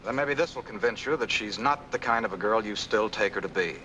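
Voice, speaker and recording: An actor playing an earnest, lovestruck young American man, gentle and protective, in a 1940s Hollywood film. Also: fairly low pitch, a little fast, dull audio, slight background noise. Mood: earnest, smitten. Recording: old film soundtrack, music behind.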